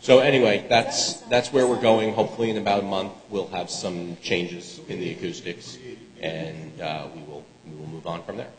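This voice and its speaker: A man presenting to a city council and answering questions in a measured, hedging way. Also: low voice, steady tone, a crowd in the background. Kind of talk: explaining a plan in a meeting. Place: council chamber. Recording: reverberant hall, faint hum.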